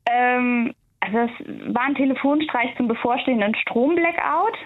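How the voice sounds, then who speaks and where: Over a narrow, tinny telephone connection, a woman caller talks in a casual, recounting way. Her voice sits a little higher than average. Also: normal speed. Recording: tinny narrow phone line.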